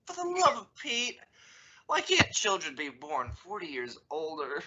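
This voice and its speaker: A man's voice: sits high, wildly swooping pitch, measured pace, very noisy, heavily acted. An actor playing a wry, flustered father in an old-time radio comedy, stammering with exasperation. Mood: fed up. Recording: dull, lo-fi radio-play audio.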